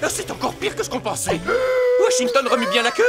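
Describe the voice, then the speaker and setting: An apologetic, worrying husband in a children's cartoon. The pitch jumps around dramatically as he frets. Loud music runs behind the voice. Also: huge pitch swings, fairly quick. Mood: alarmed. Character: fretful, apologetic married male pet owner.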